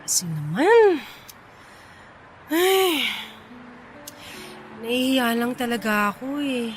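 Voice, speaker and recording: A woman playing a proud, easily irritated but shy female office boss in a Tagalog radio drama, with an exasperated, expressive delivery. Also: fairly high pitch, slow pace, faint music behind. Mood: flustered. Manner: exasperated, expressive, mixes in English phrases.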